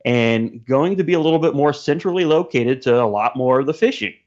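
Male podcast guest, mid-pitched, casual chat, dull lo-fi call audio. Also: expressive, brisk, a little mellow. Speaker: podcast guest, show presenter. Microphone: remote call.

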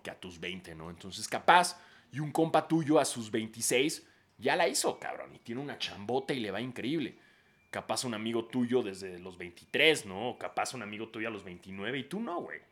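A man's voice: fairly low pitch, fairly bright tone. Mexican man, slangy podcast rant. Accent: Mexican Spanish. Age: 30s. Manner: swears freely and throws in "güey" constantly. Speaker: podcast host. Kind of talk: casual monologue giving advice.

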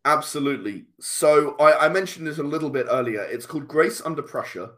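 A man speaking as an interview guest, explaining and storytelling conversationally, halting and repeating words as he goes. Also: a little high, expressive, a bit bright. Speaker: podcast guest, comic writer.

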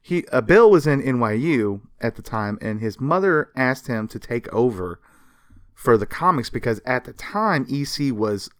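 A man's voice: medium pitch, animated, moderate pace, a bit bright, no room sound, studio quality. A man hosting a podcast, telling history in a casual, colloquial and explanatory way. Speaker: podcast host.

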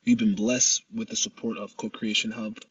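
A man from the United States, a startup founder answering interview questions in a measured, steady way with occasional pauses. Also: fairly low pitch, husky, no room sound, recorded from a distance, dull audio.

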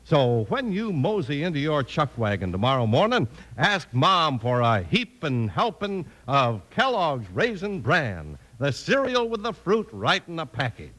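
Man doing an old-time radio ad, lively sing-song, cowboy patter. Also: fairly high pitch, a little slow, hardly any pauses. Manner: folksy cowboy slang. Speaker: radio announcer. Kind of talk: folksy 1950s radio ad.

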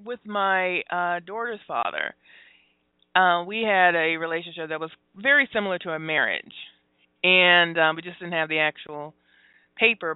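A woman in her forties hosting a phone-in radio talk show, talking openly about her own life with many pauses, fillers and laughs. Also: on the low side, no echo. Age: 40s. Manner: open and confessional, halting with fillers, laughs often. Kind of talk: candid phone-in radio chat. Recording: dry, tinny phone-like sound.

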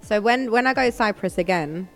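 A woman hosting a casual interview, informal and slangy, with animated reactions. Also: medium pitch, fairly quick, soft background music. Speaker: interviewer and show host.

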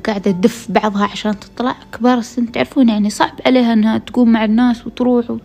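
Mellow Gulf Arabic woman storyteller, tired voice. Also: fairly high pitch. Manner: conversational, addresses listeners directly. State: tired voice from a week of illness. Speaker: podcast host. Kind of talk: casual true-story narration.

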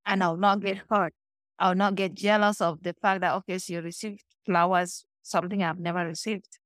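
A middle-aged woman with a Cameroonian accent tells personal stories in a lively, animated way, slipping into the voices of the people she quotes. Her voice sits on the low side. The sound is dry and close, with no room echo. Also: moderate pace. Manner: animated storytelling, acts out quoted dialogue. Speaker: guest. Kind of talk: conversational interview.

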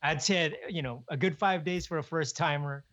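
Young man, casual podcast co-host, slightly high voice, dull lo-fi sound. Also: normal speed. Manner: relaxed, chuckling, many restarts and "man". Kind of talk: casual chat.

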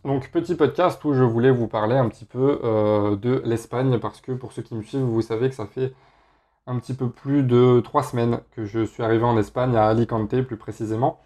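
A young man from France hosting a casual solo podcast, talking in a relaxed way with lots of hesitations. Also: mid-range voice, normal speed. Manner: relaxed, many filler hesitations. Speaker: podcast host.

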